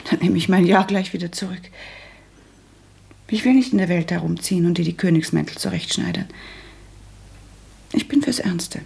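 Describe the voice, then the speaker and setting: An actress playing a prim, practical and resolute young woman in a German radio drama, speaking firmly with a husky, creaky voice. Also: on the low side, normal speed, pauses often, darkish tone, exaggerated voice. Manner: firm, matter-of-fact.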